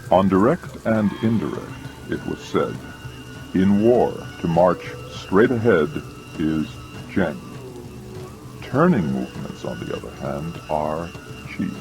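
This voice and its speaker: Very deep-voiced man narrating over music. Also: big pitch swings, slow, creaky, low-quality recording. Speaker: audiobook narrator. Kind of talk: dramatized scripted narration of a classical military treatise. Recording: loud music and rain behind. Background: music and rain sounds.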